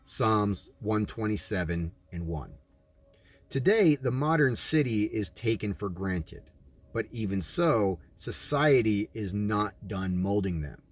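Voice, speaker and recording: An American man reading aloud and adding his own commentary in an emphatic, preachy manner, like a sermon. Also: fairly low pitch. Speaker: audiobook reader and commentator.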